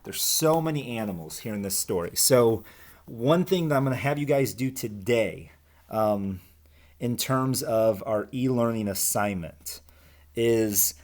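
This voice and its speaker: A man with a mid-range voice teaches young children through a picture-book read-aloud. His pace is slow and deliberate. He delivers rhymes expressively and speaks to the kids in an informal, chatty way. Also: medium pitch. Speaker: elementary school teacher reading aloud.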